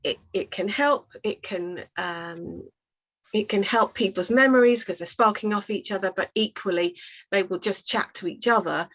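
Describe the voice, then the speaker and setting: A woman's voice: medium pitch, normal speed, a bit bright. Woman explaining, dull lo-fi call audio. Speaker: oral historian and workshop speaker. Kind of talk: conversational webinar advice. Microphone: video call.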